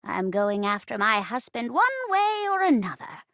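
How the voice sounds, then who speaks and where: A woman's voice: mid-range voice, big pitch swings, normal speed, vocal fry, a bit bright, dry recording, studio quality. A woman voicing a plucky, melodramatic old rocking chair wife in a hammy, folksy video game murder mystery. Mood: feisty, indignant. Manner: theatrical, folksy, pleading.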